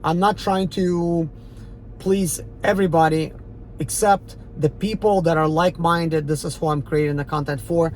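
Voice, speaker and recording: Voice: medium pitch, steady tone, normal speed, slight background noise. Defiant rambling speaker, Eastern European accent. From Ukraine, former Soviet Union. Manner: defiant, emphatic and rambling, with many fillers. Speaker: rideshare driver and channel host. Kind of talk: casual unscripted monologue.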